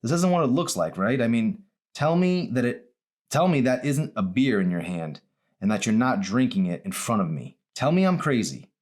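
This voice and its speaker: A man reading as a teenage girl in an audiobook, pitched up from his low voice. She is caring and earnest, quick to plead and reproach. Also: sing-song, darkish tone. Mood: upset, pleading.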